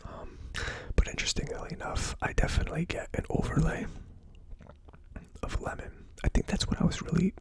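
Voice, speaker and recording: An ASMR host giving a hesitant, thinking-aloud tasting commentary in a breathy, unvoiced whisper. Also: brisk. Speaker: whiskey reviewer, ASMR host.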